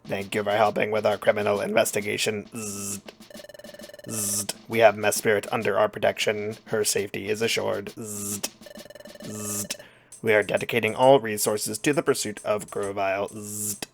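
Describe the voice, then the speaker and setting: A low-voiced, robotic officer creature from a video game as a player acts it out, stern and official. It speaks in stiff, formal sentences broken by buzzes, with music behind. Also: little pitch movement, moderate pace, creaky, slight background noise, close to an everyday voice.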